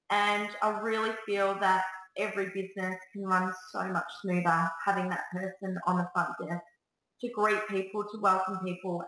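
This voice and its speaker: Salon owner, woman, 30s; upbeat and chatty, bright tone, webcam in a boomy little room. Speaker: salon owner giving business tips. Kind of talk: direct-to-camera business advice. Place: small salon room, indoors. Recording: echoey room.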